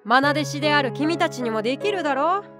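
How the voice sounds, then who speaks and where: A woman's voice: high-pitched, lively intonation, brisk, over music. A woman voicing a small boyish unicorn guardian beast in an anime-style voice drama, speaking as a composed, knowing mentor. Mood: calm, grave.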